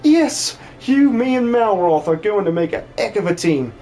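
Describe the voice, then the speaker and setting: Man doing an eager, high-pitched young farmhand. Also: moderate pace, faint music behind. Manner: folksy, excitable. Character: eager, timid young male farmhand, easily carried away.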